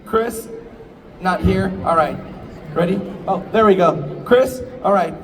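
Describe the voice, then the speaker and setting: An upbeat man moderates a convention panel and keeps the crowd moving. His voice is high for a man. He sits back from the mic, and the long hall reverb and steady noise surround him. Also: unhurried, distant mic.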